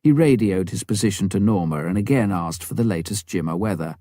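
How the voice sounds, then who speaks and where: A man reading a scripted story as an audiobook narrator, measured and dramatic, with deliberate pauses. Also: low voice, lively intonation, mellow, no room sound, clean studio sound. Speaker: audiobook reader.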